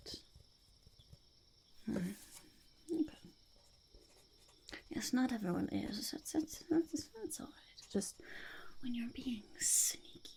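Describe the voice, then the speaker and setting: A woman voicing an eccentric, self-important goblin in a whispered ASMR roleplay, breathy with creaky edges, fussy, hesitant and repetitive. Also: mid-range voice. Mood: probing, self-important. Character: eccentric, self-important goblin overlord interviewing a would-be sidekick.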